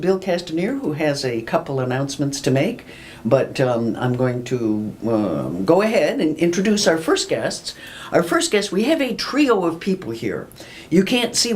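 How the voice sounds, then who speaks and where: A woman hosting a casual radio interview, chatty and laughing easily, her voice unusually low for a woman. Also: darkish tone, slight background noise. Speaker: radio show host.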